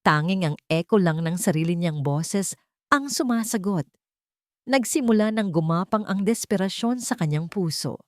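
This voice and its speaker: A woman's voice: on the low side, animated, moderate pace, no echo, clean studio sound. A woman reading a scripted, dramatic audio story as a storyteller.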